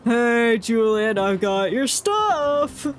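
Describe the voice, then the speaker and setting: Woman gamer on stream, takes her time, playful, reads game text out loud, slight background noise. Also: on the high side, very animated, extremely slow. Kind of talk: casual livestream commentary, reading game text aloud.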